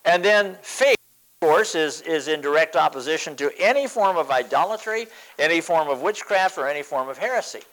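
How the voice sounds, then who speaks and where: Animated older male preacher. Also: a little high, brisk, low-quality recording. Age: 70s. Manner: emphatic, repeats phrases for stress. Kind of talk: sermon.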